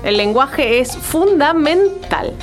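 Brief: actress as earnest lady listener in a radio skit, fairly high, slow voice, gently correcting. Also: big pitch swings, over music, exaggerated voice. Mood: polite, earnest.

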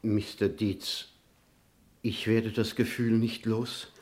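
An actor playing a hesitant, apologetic and formal male lawyer, halting with embarrassment, in a radio play. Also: fairly low pitch, flat delivery, darkish tone. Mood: sheepish, formal.

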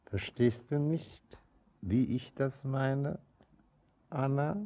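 Dark, husky old father. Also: mid-range voice, very slow, muffled recording. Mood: probing. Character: older father, skeptical, probing, gently paternal. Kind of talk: stylized stage-like radio drama acting.

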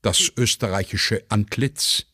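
A man giving a scripted dramatic reading as narrator, announcing stage directions tersely and deliberately. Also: on the low side, bright, forceful tone, dry recording, clean studio sound.

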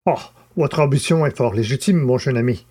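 A man's voice: mid-range voice, fairly quick, dark tone, husky, lightly acted. Guy doing a courteous older lawyer handing out advice, audiobook tale. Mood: wry, paternal. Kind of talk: audiobook character dialogue.

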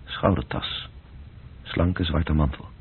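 A man narrating a literary radio drama in a measured, descriptive way, with a deep, gravelly voice. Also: steady tone, slight background noise, exaggerated voice. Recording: muffled narrow-band radio play recording.

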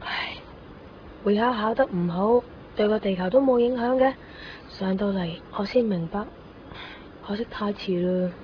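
A woman's voice: mid-range voice, moderate pace, natural voice. An enigmatic teenage girl with a Hong Kong accent , played by a woman, speaking in a medium register with wry resignation. The radio drama sound is muffled, with faint noise behind her. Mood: resigned. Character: enigmatic, fatalistic teenage schoolgirl, quietly resigned.

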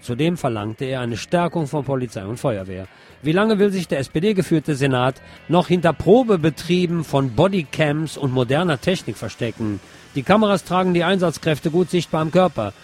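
A man's voice: fairly high pitch, expressive, moderate pace, a little mellow, soft background music. A man reads scripted news commentary aloud as a presenter. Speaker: podcast presenter reading press articles.

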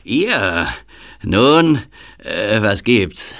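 A man playing a gruff, evasive middle-aged agent with a guilty secret in an audio drama, speaking haltingly. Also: fairly low pitch, very animated, a bit bright, exaggerated voice. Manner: hesitant, halting with interjections.

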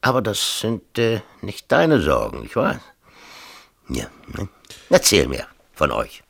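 An actor in a 1970s East German radio play, playing a harried but jovial middle-aged local official who talks colloquially and hesitantly, with many tag questions. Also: male voice, lowish voice, wildly swooping pitch, slow pace, gravelly, a bit bright, slightly rough, no room sound, full character voice. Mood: matter-of-fact.

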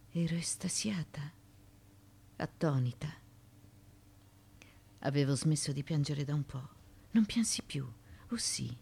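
A woman's voice: low-pitched, gravelly, warm tone, breathy edge, exaggerated voice. An actress playing an ancient Greek mother haunted by her childhood, in a theatrical radio monologue of broken musing.

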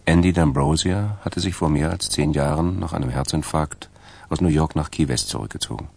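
A man narrating a radio crime drama in a measured, dry way, with a gravelly, husky voice. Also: rumbling low, darkish tone, no room sound, exaggerated voice. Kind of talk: scripted crime story narration.

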